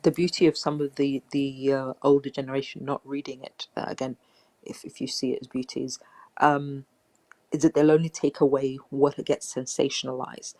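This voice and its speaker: A man's voice: mid-range voice, little pitch movement, moderate pace, a little mellow, poor audio. A man on a live stream, chatting hesitantly with many ums and restarts. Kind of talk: casual live-stream chat.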